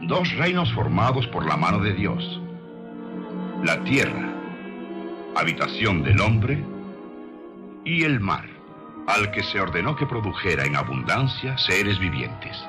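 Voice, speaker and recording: Deep-voiced male narrator, solemn and expressive. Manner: solemn, measured, declamatory. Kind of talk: scripted religious science documentary narration. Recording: music behind the voice. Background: music.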